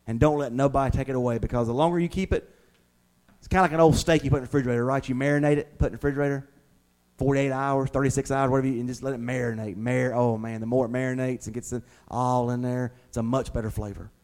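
A man's voice: mid-range voice, fairly even intonation, quick pace, a little mellow. A middle-aged man preaching an informal, conversational sermon as a pastor, in a folksy way. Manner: folksy, uses homely examples and acts out imagined replies.

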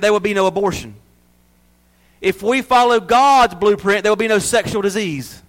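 A man preaching a sermon to his church, swinging from loud emphasis to hushed asides. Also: high voice. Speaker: pastor.

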